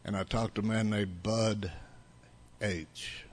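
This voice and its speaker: Low-voiced man in his seventies, rambling testimony. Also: steady tone, slow pace. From United States. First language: Italian. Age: 70s. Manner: rambling, blunt storytelling with restarts. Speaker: speaker sharing his recovery story. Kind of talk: personal testimony to an audience.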